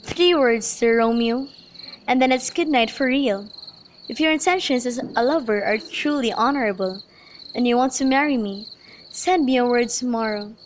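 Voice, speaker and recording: A woman's voice: a little high, normal speed, lightly acted. A woman playing an earnest, lovestruck young noblewoman in an amateur student radio drama of modernized Shakespeare. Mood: tender, devoted. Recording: noisy home recording, crickets behind.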